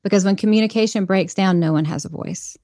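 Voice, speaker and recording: A woman's voice: mid-range voice, quick pace, warm tone, no echo, studio quality. A woman guest on a podcast interview, talking earnestly and reflectively about faith and leadership. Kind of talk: conversational interview.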